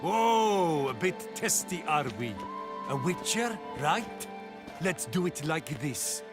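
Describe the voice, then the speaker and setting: An actor playing a cocky, playful duelist in a fantasy video game, taunting in a theatrical sing-song way. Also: male voice, fairly high pitch, sing-song, slow. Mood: teasing, eager. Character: cocky, playful male duelist boasting of his speed. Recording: game music behind.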